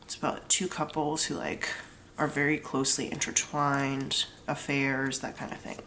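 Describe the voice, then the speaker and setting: Young woman w a low voice and a stuffy cold nose, chatty tarot reading, bit of faint room noise. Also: level tone, moderate pace, a bit bright. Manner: chatty, lots of "like", explains each pull plainly. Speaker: tarot reader. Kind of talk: casual solo tarot reading.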